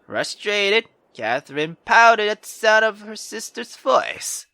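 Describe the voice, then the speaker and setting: A woman's voice: mid-range voice, very animated, takes it slowly, bright, forceful tone. A woman reading a visual novel aloud as a game streamer, in a dramatic storytelling style.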